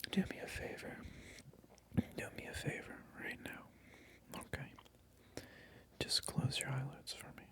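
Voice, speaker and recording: A man's voice: measured pace, pauses often, fairly bright tone. A man whispering through a comedic ASMR role-play, mock-indignant and repeating his phrases over and over. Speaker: ASMR creator.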